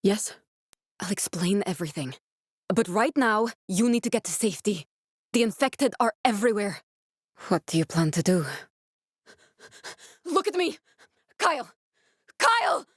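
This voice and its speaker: A woman's voice: mid-range voice, sing-song, measured pace, long pauses, breathy edge, no room sound, studio quality. A young woman actor playing a determined, guarded scientist in a video game, speaking in an earnest, serious way. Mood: serious, urgent, then desperate. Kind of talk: dramatic video game dialogue.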